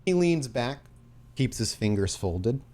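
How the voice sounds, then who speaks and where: A man narrating a tabletop roleplaying game as game master, with deliberate, suspenseful storytelling. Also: mid-range voice, big pitch swings, a little mellow.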